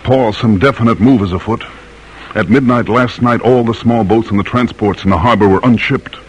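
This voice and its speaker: An actor playing a grave, eloquent colonial-era doctor and patriot in an old radio drama, speaking in a measured, solemn way. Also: male voice, fairly low pitch, slightly rough, low-quality recording. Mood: grave urgency.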